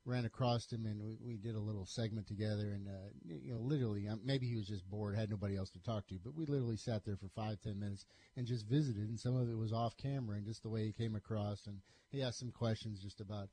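Mellow-voiced male sports radio host. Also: fairly low pitch, fairly even intonation, fast, hardly any pauses, husky. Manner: conversational, storytelling. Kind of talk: casual sports talk.

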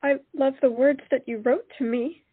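A woman's voice: high-pitched, fairly even intonation, moderate pace, slightly rough, dry recording. A woman playing an earnest, hesitant young colonial lady, pleading in a romantic audio drama. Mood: hesitant, tender. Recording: muffled lo-fi audio, music underneath.

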